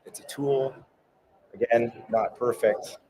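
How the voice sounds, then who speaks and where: A man's voice: mid-range voice, fairly even intonation, takes it slowly, dark tone, rough recording. A man in casual podcast chat, encouraging and reflective, often checking in with "right?". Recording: crowd noise at live event.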